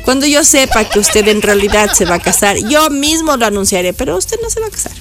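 A woman's voice: fairly high pitch, very animated, brisk, faint music behind. A woman who hosts a radio show and reads the news casually, with playful teasing asides. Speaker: radio host. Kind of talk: chatty news commentary.

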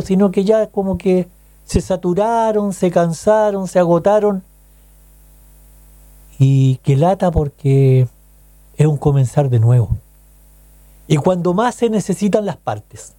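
A man's voice: on the high side, dark tone, dry recording. A man with a Chilean accent hosting a casual radio show, chatting to listeners with rhetorical questions and frequent check-ins. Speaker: radio host.

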